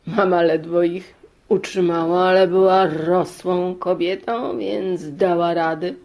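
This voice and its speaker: Slow, deliberate woman storyteller. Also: on the low side, steady tone, a little mellow. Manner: deliberate, pausing between short phrases. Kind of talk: children's fairy-tale narration.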